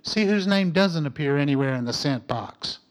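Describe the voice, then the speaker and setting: A man's voice: a little high, expressive, moderate pace, lightly acted. An actor playing a defensive, exasperated male scientist in an audio drama, sounding testy and indignant. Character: defensive, exasperated scientist, a doctor and civilian informant.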